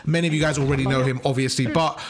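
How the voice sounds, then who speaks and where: A man giving casual, slangy gameplay breakdown commentary as a video host, with a slightly croaky, sore throat. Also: medium pitch, fairly even intonation, very fast, crowd noise. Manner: casual, slangy, repeats stock phrases. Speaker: gaming YouTuber, host.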